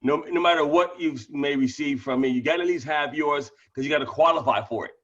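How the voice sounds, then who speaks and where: A man with a small lisp and a stutter, talking excitedly and fast as he pitches a business opportunity to people on a group call. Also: lo-fi sound. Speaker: presenter of a network-marketing training call.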